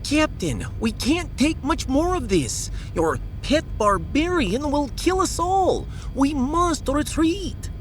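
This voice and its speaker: A woman's voice: high-pitched, sing-song, slow pace, hardly any pauses, a little hiss or hum, exaggerated voice. Sardonic lady starship scientist, wry and analytical, takes her time, sci-fi audio drama. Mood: urgent, scornful. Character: pragmatic, sardonic female starship officer and scientist.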